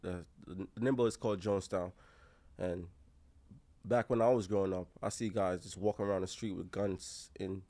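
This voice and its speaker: Laid-back Jamaican man, low voice. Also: steady tone, normal speed, dark tone. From Kingston, Jamaica. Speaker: podcast co-host. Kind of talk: casual podcast chat.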